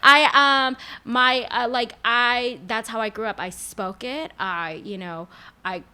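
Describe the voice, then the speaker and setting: A young woman talking in a casual interview, chatty and animated, full of filler words. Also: fairly high pitch, a little slow, smooth. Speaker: guest, food creator.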